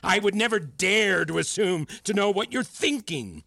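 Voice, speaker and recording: A man's voice: mid-range voice, animated, normal speed, slightly rough. Condescending, manipulative father-figure mentor. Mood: indignant, agitated. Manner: patronising, articulate, controlling. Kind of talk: audio drama acting.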